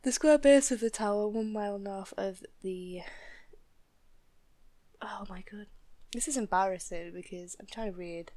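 A woman's voice: medium pitch, measured pace, dark tone. A young woman from the north of England with a Northern English accent, chatting casually and hesitantly, often laughing at herself. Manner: giggly, self-deprecating, hesitant with many fillers. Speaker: student vlogger. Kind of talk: casual chat, accent tag video.

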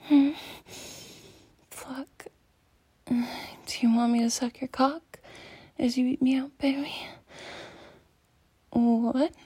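Breathy, whispering woman, slow and intimate. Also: a little high, mellow, no echo. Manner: slow, intimate, teasing, sighing. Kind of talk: intimate erotic audio roleplay, ASMR-like whispering.

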